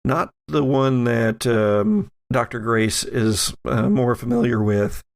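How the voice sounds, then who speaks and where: A man speaking as game master, narrating and explaining rules in a halting, measured way. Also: mid-range voice, vocal fry. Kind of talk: improvised tabletop game narration and rules talk.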